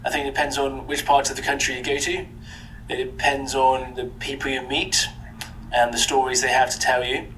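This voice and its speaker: A man from Britain answering an interview question thoughtfully, measured and full of ums. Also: medium pitch, little pitch movement, pushed and bright, a little hiss or hum, poor audio. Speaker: street interviewee.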